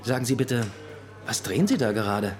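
An actor playing a dry, needling police detective questioning people in a radio drama. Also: male voice, mid-range voice, lively intonation, fairly quick, soft background music, lightly acted. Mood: probing, dry. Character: sardonic, probing male police detective.

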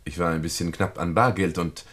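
A man's voice: sits low, steady tone, fairly quick, a bit bright. A man acting an evasive, defensive murder suspect in a German radio play, hedging and uneasily insistent. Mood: sheepish, evasive.

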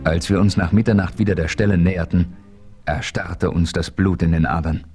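A man playing the calm, authoritative hero who narrates in the first person, in a radio play. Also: deep voice, normal speed, music in the background, close to an everyday voice.

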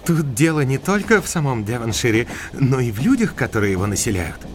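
An actor playing a talkative, learned country doctor with a scholarly, discursive manner in an audio drama. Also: male voice, mid-range voice, sing-song, fairly quick, slight background noise, lightly acted. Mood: pedantic, enthusiastic.

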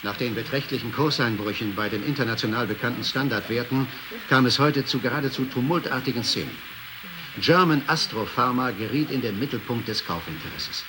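A man playing a sober stock exchange reporter, reading a scripted news report in a formal, measured way. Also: mid-range voice, lightly acted. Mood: sober, informative. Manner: formal, measured newsreader delivery. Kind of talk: scripted broadcast news report in a radio play. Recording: clearly noisy background ambience.

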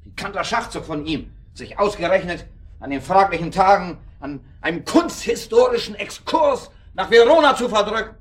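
An actor playing a brash, irritable club playboy in a radio drama, with a mocking, flippant manner. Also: male voice, sits high, lively intonation, normal speed. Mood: sneering. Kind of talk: naturalistic radio drama acting.

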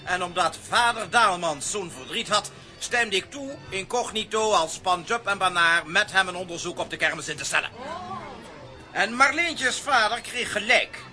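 An actor playing a pompous, formal police commissioner who repeats himself, in a children's radio play. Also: male voice, high-pitched, expressive, normal speed, sharp tone, exaggerated voice. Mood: officious. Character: fussy, self-important police commissioner. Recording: music and crowd behind voice.

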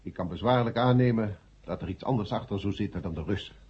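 An actor playing a stern, formal male chairman with a pompous, measured manner in a radio drama. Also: lowish voice, a little mellow, a little hiss or hum, natural voice. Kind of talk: 1970 Dutch radio drama acting. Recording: muffled old 1970 radio recording.

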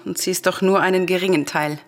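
Casting: actress for defiant, grief-stricken princess of Greek tragedy, solemn verse delivery, intensely emotional, music bed. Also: female voice, lowish voice, steady tone, a little fast, lightly acted. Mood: bitter grief. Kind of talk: solemn radio drama acting of verse tragedy.

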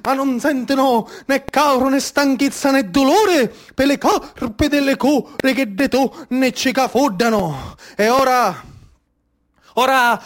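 A Sicilian man delivers a theatrical monologue in a strikingly high-pitched voice. He tells it like an incantation, in rhythmic, repeating phrases. His accent and dialect are strongly Sicilian. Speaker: theatre storyteller and actor.

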